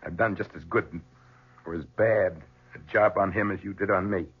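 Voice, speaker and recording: A bitter, guilt-ridden man talks in a low, very husky, rough voice. The sound is a narrow, tinny 1970s radio drama. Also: normal speed. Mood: remorseful.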